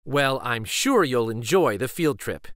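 A man's voice: mid-range voice, sing-song, measured pace, a bit bright, no room sound, studio quality. A man voicing a kind but firm woman schoolteacher in a storybook, with an encouraging manner.

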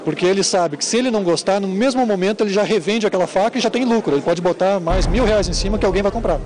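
A southern Brazilian man explains his craft informally in an interview. His voice is fairly high, with clear crowd chatter from a busy hall behind him. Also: fast. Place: large indoor fair hall.

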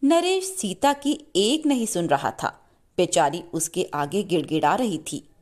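Animated woman narrating a children's story. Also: fairly low pitch, unhurried.

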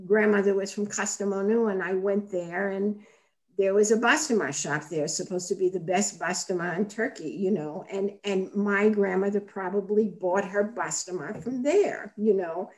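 A woman's voice: medium pitch, darkish tone, poor audio. Older woman telling stories on a video call. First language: Armenian. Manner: chatty, anecdotal, many "you know"s. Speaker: participant. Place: home kitchen at night.

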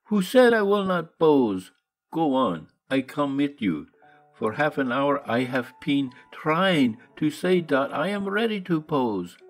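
A fierce, scoffing old painter past sixty, as a man acts him out. His blustery, broken English swoops up and down widely. Soft music hums faintly underneath. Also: on the high side, big pitch swings, slow pace, voice pushed up, low-quality recording. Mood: gruff. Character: fierce little old male painter, gruff.

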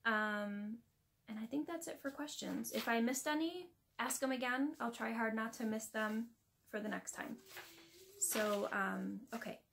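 A woman's voice: on the high side, unhurried, creaky, a bit bright, slight background noise. A woman in her thirties chatting casually to camera in a small home craft room, friendly and full of ums. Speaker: crafting vlogger.

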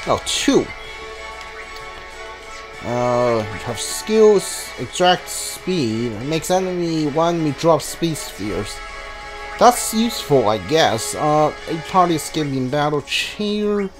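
A man's voice: a little high, big pitch swings, slow. A man giving casual gameplay commentary as a streamer, hesitant and thinking aloud as he goes. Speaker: video game streamer. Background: video game music.

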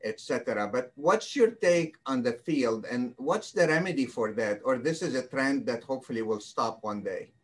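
A man interviews a guest over a video call. His voice sits in a middle pitch, and his questions wander, full of fillers and restarts. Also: animated, normal speed, few pauses. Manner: rambling, hesitant questions with many fillers. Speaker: panel interviewer, oncologist. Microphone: video call webcam.

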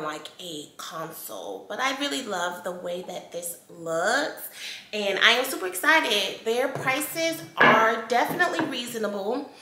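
A woman's voice: medium pitch, bright, forceful tone, a little hiss or hum. An American woman in her thirties chatting casually and emphatically to viewers as a home decor vlogger. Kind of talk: casual haul chat to viewers. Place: bright indoor room at home, seated at table. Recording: echoey room.

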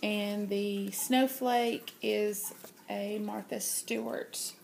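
A woman with a stuffy, nasal voice from a cold talks slowly and gently, filling pauses with many ums. She speaks to a camera mic on a table at home, with a faint hiss behind her. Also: mid-range voice, fairly even intonation, creaky. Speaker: craft vlogger. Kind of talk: casual show-and-tell.

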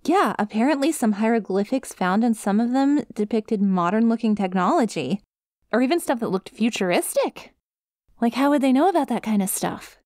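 A woman's voice: on the high side, expressive, moderate pace, darkish tone, no room sound, clean studio sound, close to an everyday voice. Scatterbrained, friendly dog-girl study buddy, acted by a woman. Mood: sheepish, rambling. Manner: casual, rambling, hesitant, easily sidetracked. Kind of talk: ASMR roleplay, one-sided conversation with listener.

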